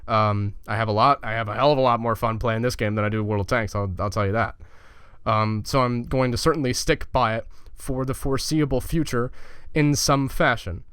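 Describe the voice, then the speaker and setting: Young male gaming YouTuber, mid-pitched, casual and crude, dry close-mic sound. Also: fairly even intonation, fairly quick, a bit bright, clean tone, no room sound. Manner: blunt, profane, loose and chatty with many fillers. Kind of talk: casual channel update vlog.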